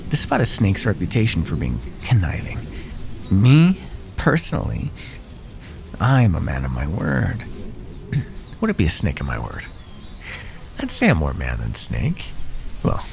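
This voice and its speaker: A man plays a predatory male naga with a very low voice in an audio fantasy roleplay. His delivery swings widely in pitch, smug and condescending as he toys with his prey. There is faint noise and ambience behind him. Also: creaky, a little mellow, exaggerated voice. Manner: mocking, condescending, drawling pet names.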